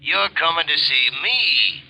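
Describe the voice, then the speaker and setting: Sharp-voiced menacing gangster. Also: male voice, a little high, expressive, slow, creaky, slight background noise, exaggerated voice, rough recording. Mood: menacing, cocky. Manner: tough, slangy, threatening. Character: menacing hard-boiled male gangster extorting money. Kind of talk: 1940s radio crime drama acting.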